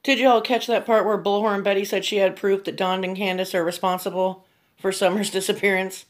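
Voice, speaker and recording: A woman's voice: mid-range voice, little pitch movement, brisk. A woman giving blunt, disapproving opinion commentary to her viewers as the host of a true crime video.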